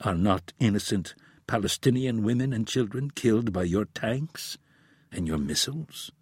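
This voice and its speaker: A man's voice: fairly low pitch, lively intonation, normal speed, darkish tone, breathy edge, no echo, studio quality. A man voicing an easygoing young man. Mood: challenging. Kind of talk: audiobook character voice.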